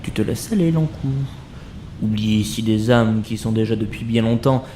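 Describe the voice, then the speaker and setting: A man's voice: on the low side, moderate pace, background noise, natural voice. An actor playing a sly male spirit in an audio drama, needling with theatrical, half-whispered scorn. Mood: mocking. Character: mocking, sly escaped spirit taunting his pursuer.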